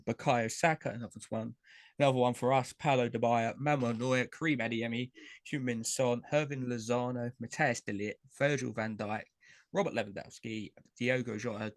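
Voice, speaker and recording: A man's voice: medium pitch, no echo. A man co-hosting a podcast, chatting casually and running through long lists of names with lots of fillers. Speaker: podcast co-host. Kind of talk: casual chat about trading cards.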